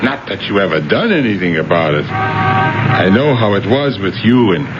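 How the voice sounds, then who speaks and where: A needling pal, very noisy old radio. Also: male voice, fairly low pitch, sing-song, moderate pace, dull audio. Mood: knowing, teasing. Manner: needling, familiar, insinuating. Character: nosy, teasing male old acquaintance. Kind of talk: 1970s radio drama acting.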